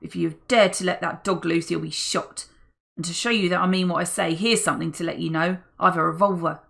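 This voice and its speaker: A woman reading a menacing armed male criminal in an audiobook, loud and threatening. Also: fairly low pitch, steady tone, brisk, gravelly.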